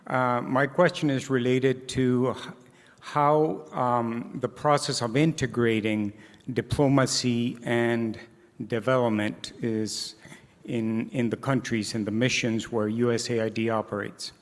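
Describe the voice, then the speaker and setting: A man asking a courteous, slightly halting question from the floor at a conference. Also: mid-range voice, steady tone. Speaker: audience member asking a question. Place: large conference hall. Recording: long hall reverb.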